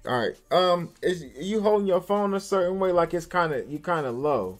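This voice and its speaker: Casual man hosting, higher-pitched voice, music behind. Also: animated, normal speed. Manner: informal, slangy talk. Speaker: host of an online call-in show. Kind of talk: casual live-stream interview.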